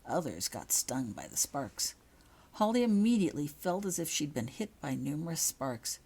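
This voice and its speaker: A woman reads a storybook-style tale aloud. Her voice is low for a woman and has a husky edge. It is scripted narration, told by the author. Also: sing-song, normal speed, mellow. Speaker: author reading own fan fiction.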